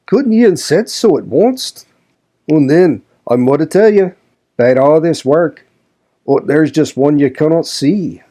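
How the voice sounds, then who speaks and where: A man's voice: fairly high pitch, animated, slow pace, mellow, voice pushed up. A man voicing a grumbling, pious old manservant who scolds in a broad rustic dialect. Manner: scolding, preachy.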